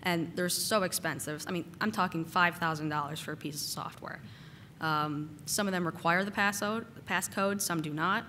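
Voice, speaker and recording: A woman giving a casual technical conference talk, slightly hoarse from a cold and using lots of "uh" and "um" fillers. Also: on the low side, fairly even intonation, normal speed, pushed and bright, slight background noise. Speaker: conference speaker. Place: conference hall.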